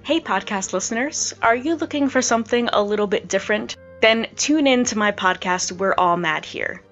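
A woman's voice: fairly high pitch, normal speed, fairly bright tone. A woman podcast host reading a scripted trailer to prospective listeners. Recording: slightly dull lo-fi audio. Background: background music.

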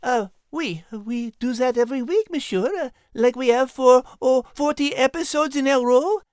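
A woman's voice: high voice, moderate pace, a little mellow, no room sound, studio quality, close to an everyday voice. A woman voicing a polite, genteel French cat in a children's story podcast, speaking with a French accent. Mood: polite, puzzled.

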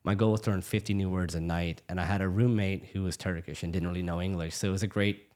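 A middle-aged man from the United States, a physician guest telling stories in a casual interview, quick and full of restarts. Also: low voice. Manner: fast, animated storytelling with many restarts.

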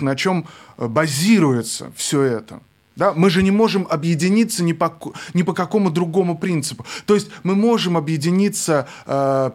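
Young guy guesting on a radio show, thoughtful and measured, a bit higher voice, trips over words now and then. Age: young adult. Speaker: guest, film director. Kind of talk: thoughtful interview talk.

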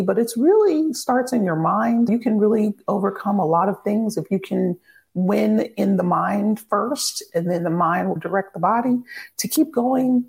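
A woman in her thirties speaks as a podcast guest, with a strongly gravelly, creaky tone. Her pitch sits in a middle range. She tells her story in a candid, upbeat way. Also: darkish tone. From Virginia, near Washington DC. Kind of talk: podcast interview.

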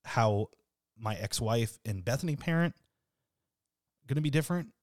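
A man in his thirties with a deep voice, hosting a casual podcast chat in a relaxed, freely swearing way. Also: normal speed, no room sound, clean studio sound. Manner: laid-back, swears freely, laughs often. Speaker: podcast host.

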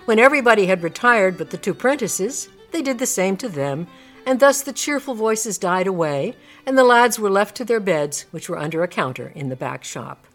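A woman narrates a classic story for a radio drama. Her voice sits at a medium pitch, with faint fiddle music behind it. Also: animated, normal speed, fairly bright tone.

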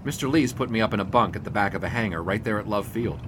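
A man's voice: on the low side, fast, background noise, lightly acted. An actor playing a reluctant, wry newspaper sportswriter of the 1920s, telling his story in dry, wordy period style. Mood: wry, weary. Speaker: narrator and character in an audio drama. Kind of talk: first-person period storytelling.

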